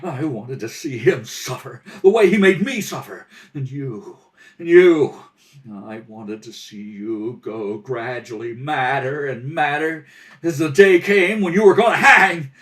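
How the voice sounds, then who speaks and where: A man playing a jealous, embittered murderer in a radio mystery, shouting vengefully and desperately. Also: mid-range voice, animated, measured pace, distant mic, natural voice. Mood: bitter, vengeful. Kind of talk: old-time radio mystery acting.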